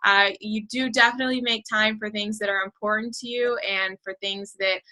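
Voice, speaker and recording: A woman's voice: mid-range voice, steady tone, moderate pace, bright, forceful tone, rough recording. A woman hosting a casual team call as a coach, upbeat and encouraging, with plenty of fillers as she talks.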